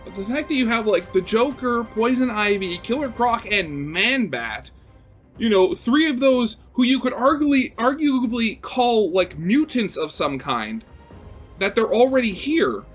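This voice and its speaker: A man's voice: very high-pitched, big pitch swings, unhurried, faint music behind. A young man hosting a reaction video, chatting casually and rambling with lots of fillers and restarts.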